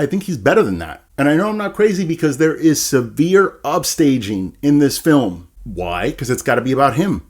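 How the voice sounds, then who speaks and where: A man reviewing a movie to camera, chatty and animated, with a sarcastic streak. His pitch sits right in the middle. He talks like he's ranting to a friend. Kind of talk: casual ranting film review.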